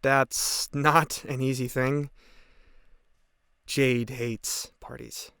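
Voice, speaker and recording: A thoughtful high school boy, caring, American accent, average male pitch, dry studio-close sound, audio play. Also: level tone, extremely slow, sharp tone, smooth, dry recording, heavily acted. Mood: fond, wistful. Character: caring, thoughtful teenage boy speaking of his friend. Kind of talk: audio drama testimony, naturalistic.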